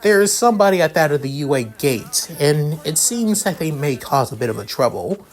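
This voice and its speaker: A man voicing a polite, businesslike school principal with a courteous, measured manner in a fan story. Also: a little high, expressive, close to an everyday voice. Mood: polite concern.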